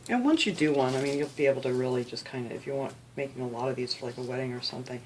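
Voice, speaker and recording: Low-voiced chatty woman, sniffly. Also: very fast, a little hiss or hum, poor audio. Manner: chatty, relaxed, lots of "you know". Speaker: craft video host. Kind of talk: casual step-by-step craft tutorial. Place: home craft room.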